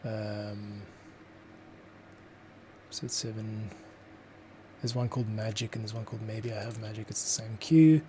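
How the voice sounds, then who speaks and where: A man's voice: on the low side, fairly even intonation, a little slow, long pauses, warm tone. A man giving casual livestream commentary as a composer at work, muttering and thinking aloud. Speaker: composer demonstrating his workflow. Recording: clearly noisy room, keyboard clicks. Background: typing, clicks, background noise.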